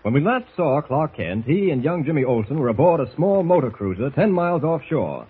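A man's voice: on the high side, very animated, a little fast, heavily acted. A man narrating a 1940s radio adventure serial in a dramatic, declamatory manner. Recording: tinny, rough old radio recording.

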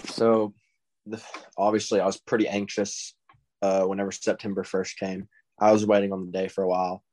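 Low, flat-voiced Southern teen. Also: male voice, moderate pace, low-quality recording. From Texas. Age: teens. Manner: laid-back, repeats "I mean" constantly. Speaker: guest, high school baseball recruit. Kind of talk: casual interview answers.

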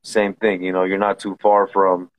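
A man from Southern California hosts a casual podcast chat. His voice sits on the lower side. He speaks in an easygoing way, repeating phrases and filling with "you know". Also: monotone, moderate pace, rough recording. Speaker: podcast host.

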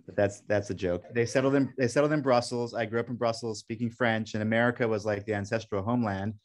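A man's voice: fairly low pitch, fairly even intonation, fast talker. A middle-aged man from Belgium, a documentary director, answering questions in a radio interview in an earnest, reflective way with frequent restarts.

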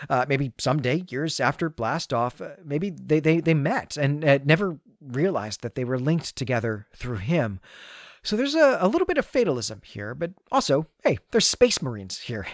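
A man's voice: on the high side, no echo, studio quality. A man hosting a podcast, recapping a story conversationally in a chatty, hesitant way and often interrupting himself. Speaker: podcast host.

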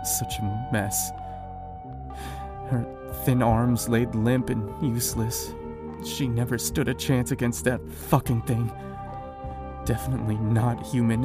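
A man's voice: mid-range voice, close to an everyday voice. A man playing an anguished, foul-mouthed survivor of a zombie apocalypse in a horror audio drama. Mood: grim, stunned. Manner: grim, profane, anguished.

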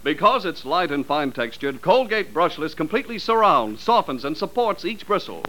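A man's voice: fairly high pitch, big pitch swings, moderate pace, a bit bright. A man with an American accent announcing in polished 1940s radio style. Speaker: program announcer. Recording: noisy old radio broadcast.

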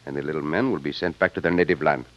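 An actor playing a gallant, confident Mexican cowboy hero with a Mexican accent in an old Western radio drama. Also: male voice, extremely low pitch, racing. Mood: suave, romantic. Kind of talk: 1940s-50s Western radio drama acting. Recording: muffled old radio recording, hissy.

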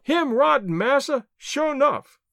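A fearful yet stubborn old servant from a storybook, acted out by a man. The pitch is high and swings about in animated fashion. The speech is folksy dialect with dropped words and exclaimed protests, recorded very dry. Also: a little slow, creaky, dry recording, full character voice. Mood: wary. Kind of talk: audiobook character voice.

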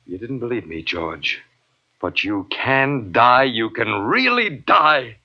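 A weary human survivor in classic 1950s radio drama. His delivery swings widely in pitch, earnest with a wry, hesitant edge. The recording is dull and dated. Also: sing-song, slow, heavily acted. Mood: urgent, insistent. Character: weary, wry human man, among Earth's last survivors. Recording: muffled vintage radio recording.

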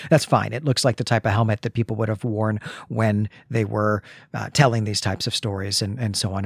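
A man's voice: medium pitch, dry recording, clean studio sound. A man co-hosting a podcast, talking reflectively and conversationally about stories and posing questions. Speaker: podcast co-host. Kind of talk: conversational literary podcast discussion.

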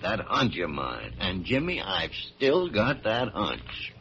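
Crotchety old doctor, swooping delivery. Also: male voice, fairly low pitch, wildly swooping pitch, slow, over loud music, heavily acted. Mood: shrewd, brooding. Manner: gruff, irascible, repeating words. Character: crotchety, shrewd older senior doctor, brusque but fond. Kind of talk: 1940s radio drama acting. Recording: muffled, noisy vintage radio recording.

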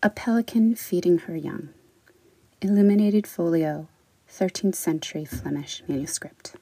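A woman poet introducing and reading her work in an articulate, deliberate way. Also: lowish voice, dark tone, slightly rough, poor audio. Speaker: poet, writer. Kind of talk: spoken introduction and poetry reading.

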